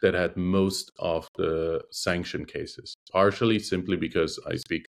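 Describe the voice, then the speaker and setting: A man who is a native Russian speaker, a lawyer guest speaking in a measured, thoughtful interview manner with frequent hesitations. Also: sits low, fairly even intonation, creaky. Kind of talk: conversational interview.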